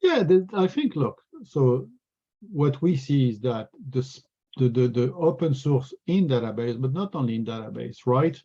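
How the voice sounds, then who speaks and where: This French-accented man speaks earnestly and often restarts his words mid-thought. His voice is gravelly and husky, at a middle pitch. The video call audio is dull and lo-fi. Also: lively intonation, moderate pace, mellow. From France. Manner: earnest, repeats words while thinking. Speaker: regional sales executive. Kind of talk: webinar interview answers.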